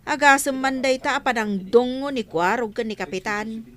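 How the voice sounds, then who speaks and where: A woman's voice: fairly high pitch, moderate pace, lightly acted. A woman acting a teasing, gossipy village friend in a comedic audio drama.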